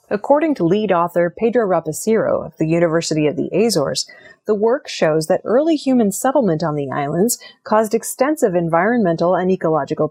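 A woman presenting scripted news narration in a measured, explanatory way. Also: lowish voice, a little mellow, breathy edge.

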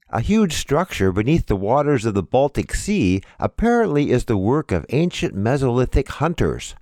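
A man's voice: mid-range voice, a little mellow, no echo, clean studio sound. A man reading scripted headlines and announcements as the host of a program.

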